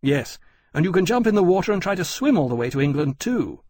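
A man's voice: on the high side, very animated, a little fast, darkish tone, husky, no echo, pitched above the performer's own voice, heavily acted. A man voicing a blunt, dismissive and sardonic British sea captain in an audiobook. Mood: scoffing.

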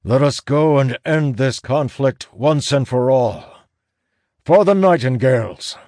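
A man voicing a reluctant, solitary ranger leader, grim and determined, with an earnest, measured delivery in a fantasy audiobook. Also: low voice, a little mellow, breathy edge, dry recording, clean studio sound, voice pushed up. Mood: rallying.

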